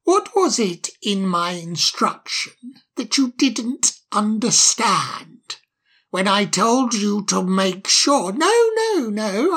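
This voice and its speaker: A man voicing a menacing, sarcastic male fox who bosses others around in a storybook reading, with a slow, cold manner. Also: high-pitched, gravelly, sharp tone, slightly rough, full character voice. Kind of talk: storybook audiobook character voice.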